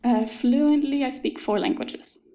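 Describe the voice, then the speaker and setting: A woman's voice: sits high, very animated, vocal fry, warm tone, lo-fi sound. Thoughtful Danish woman in conversation. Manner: thoughtful, laughs often, pauses with ums. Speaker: author guest. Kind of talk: interview conversation.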